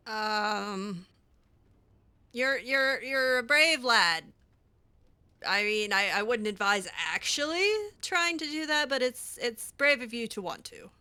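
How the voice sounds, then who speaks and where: A woman's voice: a little high, slow pace, bright, forceful tone, clean tone. A woman roleplaying her way through a fantasy video game, improvising in character with a hesitant, wry, sarcastic manner. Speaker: gamer roleplaying a mage heroine.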